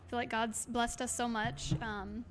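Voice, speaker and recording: A woman chatting casually on a game livestream, expressive and affectionate, reading messages aloud, with a slightly hoarse voice. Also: fairly high pitch, normal speed. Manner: expressive, exclaims often, gushes affectionately. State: slightly hoarse, by own account. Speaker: livestream host. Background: music.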